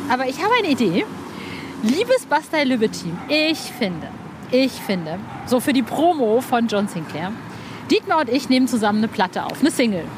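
Female podcast interviewer, fairly high voice, casual and eagerly emphatic, noticeable background noise. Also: very animated, moderate pace. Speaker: podcast host, music journalist.

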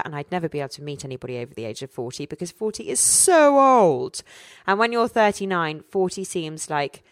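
A woman in her forties co-hosting a casual chat, telling personal stories in a candid, animated way. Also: deep voice, smooth, no room sound. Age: 40s.

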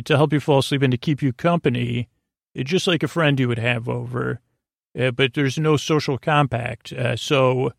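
A man hosting a sleep podcast, giving a meandering, halting bedtime monologue that drifts off into absurd tangents, with a creaky voice. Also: medium pitch, no room sound, studio quality. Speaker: podcast host. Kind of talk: rambling, low-stakes bedtime monologue meant to lull listeners to sleep.

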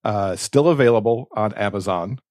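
A man's voice: lowish voice, moderate pace, darkish tone, no echo, studio quality. A man co-hosting a podcast, talking casually in conversation. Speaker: podcast co-host.

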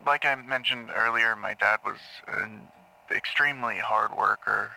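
An actor playing a nervous, troubled man who speaks haltingly and apologetically in an audio drama. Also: on the low side, vocal fry, pushed and bright, exaggerated voice, low-quality recording. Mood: guarded, uneasy. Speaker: actor playing a therapy patient.

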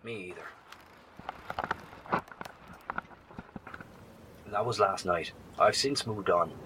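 A man playing a wary, kind survivor in a post-apocalyptic horror audio drama, speaking in a hesitant, measured, reassuring way. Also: low voice, background noise, lightly acted. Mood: subdued, guarded. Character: wary, kind male survivor scouting the wasteland by radio. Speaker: actor.

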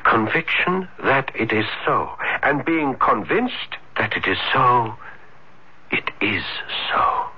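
An actor playing a tormented young student, earnest and intense, in a theatrical American radio drama style. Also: male voice, mid-range voice, very animated, slow pace, husky, exaggerated voice. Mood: haunted, intense. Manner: intense, theatrical, hesitant at times. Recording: muffled vintage radio drama recording.

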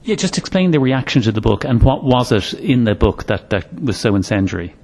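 A man hosting an interview, reading a clear, measured introduction and asking questions. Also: medium pitch, big pitch swings, a little mellow. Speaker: interviewer, podcast host.

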